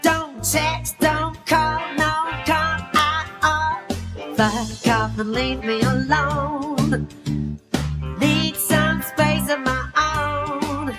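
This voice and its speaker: Woman, British accent, high voice, comic singing, extremely slow, deadpan, prominent guitar backing. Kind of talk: comic song, sung to plucked guitar. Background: plucked guitar music.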